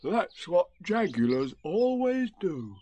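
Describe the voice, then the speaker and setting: A man voicing a naive, placid toy bear who speaks slowly and matter-of-factly in a storybook reading. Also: high-pitched, sing-song, dark tone, slight background noise, voice pushed up, full character voice. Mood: knowing, placid.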